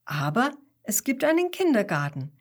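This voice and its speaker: A woman voicing a calm, reassuring father koala in a children's story, with a patient manner. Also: fairly high pitch, normal speed.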